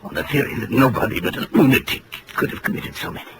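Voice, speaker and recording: An actor playing a badly injured, calculating villain, articulate, condescending and labored, with a gravelly voice, in a 1940s radio drama on a noisy old recording. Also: male voice, medium pitch, expressive, fast talker, lightly acted. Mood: smug, strained.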